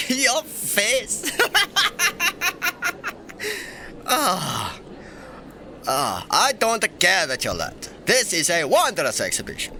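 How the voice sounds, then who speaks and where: A man's voice: high voice, wildly swooping pitch, takes it slowly, pushed and bright, slight background noise, exaggerated voice. A man playing a young, mischievous and irreverent emperor in a fantasy audio drama, with a theatrical, teasing, breezy delivery. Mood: playful, boisterous. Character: young playful irreverent male emperor, secretly informal and mischievous.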